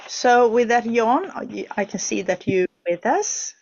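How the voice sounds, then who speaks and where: A woman's voice: a little high, lively intonation, unhurried, gravelly, dull audio. A woman hosting an online project session from a studio, talking hesitantly with many fillers and restarts. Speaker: webinar host.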